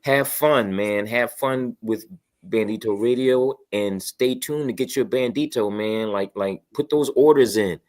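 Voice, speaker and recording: A man's voice: mid-range voice, measured pace, darkish tone. A man speaking as a guest in a casual, effusive way, repeating himself and peppering his talk with slangy asides. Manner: effusive, repetitive, peppered with "man" and "you know". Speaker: show guest. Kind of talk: casual chat.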